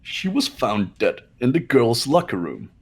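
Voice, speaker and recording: A man's voice: mid-range voice, sing-song, a little slow, husky, exaggerated voice. A man playing a condescending, confident young rich student in an amateur game dub. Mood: disdainful. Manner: condescending, skeptical.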